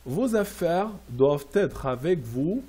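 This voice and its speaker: A man teaching French classroom phrases, repeating each slowly and translating it into English. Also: a little high, lively intonation, dark tone. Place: Riyadh.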